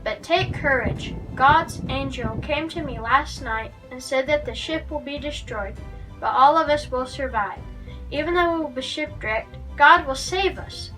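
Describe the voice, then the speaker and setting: High, bright-voiced woman reading a story. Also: a little slow. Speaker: guest story reader for children's Bible lesson. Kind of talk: read-aloud Bible story narration. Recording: distant microphone, music underneath.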